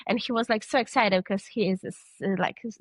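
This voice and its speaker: A woman's voice: mid-range voice, brisk, no room sound. A young woman with a Polish accent, a guest in a casual interview, hesitant and searching for words in English. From Poland. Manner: hesitant, searching for words, frequent restarts and light laughs. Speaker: podcast guest, triathlete.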